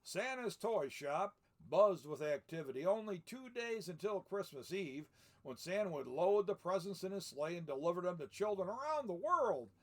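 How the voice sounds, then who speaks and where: A man's voice: sits high, big pitch swings, moderate pace. An older man in the role of Santa Claus reads a picture book aloud, adding folksy, chatty asides as he goes. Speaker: storyteller in the persona of Santa Claus.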